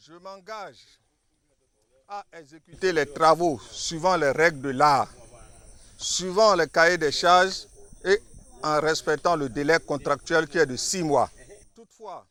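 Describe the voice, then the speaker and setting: Bright, high-pitched male contractor. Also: unhurried. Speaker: building contractor. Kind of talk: formal pledge speech.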